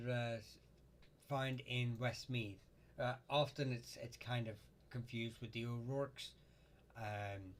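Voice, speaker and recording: A man hosting a casual live Q&A, answering viewer questions in a halting way with lots of ums and uhs. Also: medium pitch.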